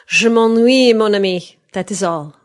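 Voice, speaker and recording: A man's voice: sits high, moderate pace, pitched above the performer's own voice, close to an everyday voice. A man reading an audiobook, voicing a witty, bored young noblewoman who speaks merrily and archly. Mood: arch, teasing. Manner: merry, arch, teasing.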